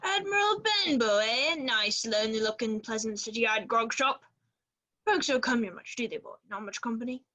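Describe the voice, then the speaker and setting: A woman playing a gruff, suspicious old seaman captain in a radio play, with salty sailor talk and a bossy manner. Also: on the high side, animated, normal speed, bright, forceful tone. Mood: gruff, sizing up.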